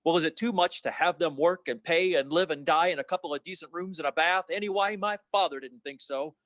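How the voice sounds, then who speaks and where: A man's voice: on the high side, brisk, a bit bright, no echo, natural voice. A man playing an earnest, idealistic young small-town fellow in an old-time radio play, plain-spoken and given to repeating himself. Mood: indignant.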